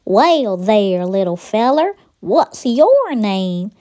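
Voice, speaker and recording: A woman's voice: mid-range voice, big pitch swings, very slow, dark tone. A woman voicing a big old male alligator with a folksy American country drawl, friendly, in a children's storybook. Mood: folksy, curious.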